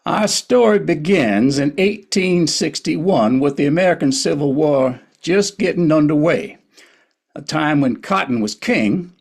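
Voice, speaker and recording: A man's voice: fairly high pitch, expressive, measured pace, creaky, slightly rough. A man narrating a historical play in a scripted but conversational way.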